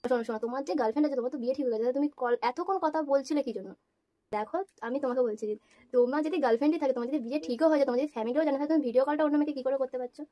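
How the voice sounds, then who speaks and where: A woman's voice: high-pitched, racing, dull audio. A young woman chatting casually as the host and caller of a prank call. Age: young adult.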